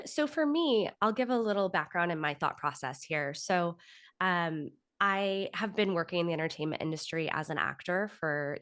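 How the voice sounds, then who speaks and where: A woman in her thirties with a low-pitched voice talks as a guest in an interview. She is articulate and reflective, laying out her thoughts at length in a conversational way. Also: a bit bright. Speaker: interview guest, author and actor.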